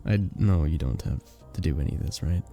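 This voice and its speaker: Guy playing a sweet flirty vampire bartender, asmr roleplay, super mellow dark voice w some gravelly fry, soft-spoken n a bit hesitant. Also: rumbling low, animated, brisk, heavily acted. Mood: tender, shy. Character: charming, sweet, earnest male vampire bartender. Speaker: audio roleplay performer. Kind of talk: ASMR romantic roleplay acting. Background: soft background music.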